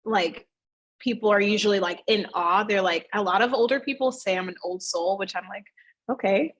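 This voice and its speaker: A woman in her thirties talks through her answers in a loose, chatty way. She keeps an everyday mid-range pitch and slips into livelier voices whenever she quotes someone. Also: normal speed, a bit bright, rough recording. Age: 30s. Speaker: fitness studio owner, guest. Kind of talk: casual interview answers.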